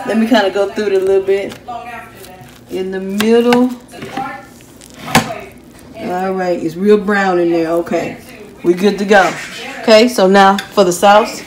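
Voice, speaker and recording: A woman's voice: medium pitch, steady tone, a little slow, pauses often, background noise. Chatty woman cooking in an echoey kitchen. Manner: chatty, homey, talks to viewers while cooking. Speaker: home cooking vlogger. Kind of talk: casual cook-along narration. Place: home kitchen. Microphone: phone camera held while cooking. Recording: echoey kitchen with pot and dish clatter.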